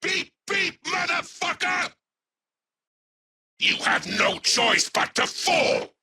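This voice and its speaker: A performer voicing a manic rogue car artificial intelligence in a video game, shouting exaggerated sing-song outbursts and beeps. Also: very high voice, big pitch swings, slow pace, exaggerated voice. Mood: manic, aggressive.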